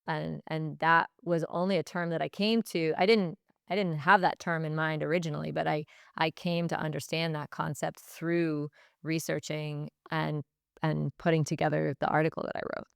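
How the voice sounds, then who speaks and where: Woman, interview guest, clear resonant tone, close dry sound, reflective, careful word choice. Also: low-pitched, little pitch movement, no room sound. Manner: reflective, measured, pausing to choose words. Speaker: Spanish teacher, podcast guest. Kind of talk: thoughtful interview answers.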